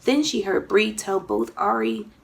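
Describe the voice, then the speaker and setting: A woman narrating a children's story aloud in an expressive, dramatic storytelling manner. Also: mid-range voice, measured pace, creaky, a little hiss or hum. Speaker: children's author reading own book.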